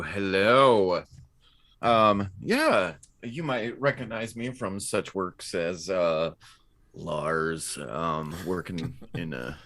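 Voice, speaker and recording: A man's voice: low-pitched, a bit bright, dry recording. A man chatting casually on a live podcast, giggly and given to chuckling as he talks. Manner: giggly, chuckles while talking. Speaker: actor and podcast cast member.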